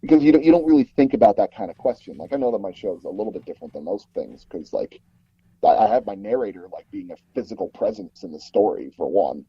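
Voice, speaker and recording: A man gives a casual interview in a low, mellow voice with a husky edge. He rambles hesitantly and puts himself down a little. The sound is dull and muffled. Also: huge pitch swings, fast, dry recording. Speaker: interview guest, audio drama producer.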